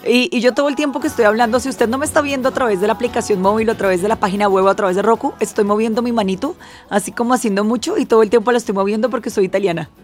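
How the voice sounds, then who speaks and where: A bubbly woman hosts a radio segment from the studio, reading a light list with playful emphasis. Her voice sits on the higher side, and faint music plays beneath it. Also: racing. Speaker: radio host.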